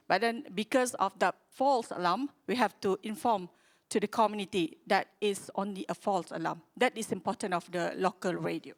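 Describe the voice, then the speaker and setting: A woman with a Malaysian accent giving a conference presentation, speaking haltingly and often searching for her words. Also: medium pitch. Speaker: conference speaker from a public radio broadcaster.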